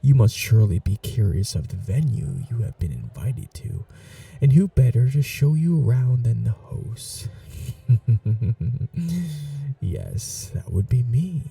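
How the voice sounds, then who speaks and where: A man voicing an ancient, cunning male vampire lord in a whispered ASMR roleplay, courtly and seductive, with a husky, half-whispered voice. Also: mid-range voice, dark tone, recorded from a distance, full character voice. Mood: charming, courtly. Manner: courtly, slow and seductive, turning menacing.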